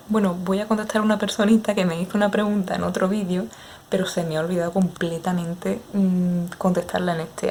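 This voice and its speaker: A young woman in her twenties with an Andalusian accent, answering viewers' questions in a relaxed, hesitant way with many fillers. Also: medium pitch, slight background noise. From Andalusia, Spain. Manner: unhurried, hesitant with frequent fillers. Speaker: ASMR creator. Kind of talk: casual Q&A chat with viewers.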